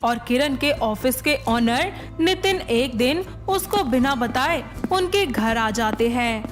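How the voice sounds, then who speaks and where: Female narrator, higher pitch, slow scripted storytelling, moral tale, background music. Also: lively intonation.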